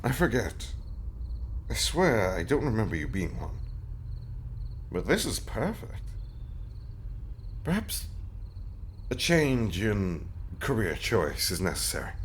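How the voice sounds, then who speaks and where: A man playing a devoted zombie husband in an intimate roleplay audio drama, speaking in a breathy, halting, wryly romantic and eloquent way. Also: fairly low pitch, big pitch swings, a little hiss or hum. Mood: amused, surprised.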